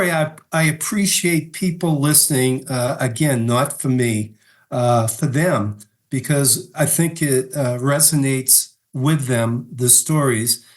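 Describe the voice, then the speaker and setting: An older man with a Boston accent, a guest author talking modestly and laughing easily. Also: medium pitch. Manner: modest, self-deprecating, laughs often. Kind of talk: casual interview.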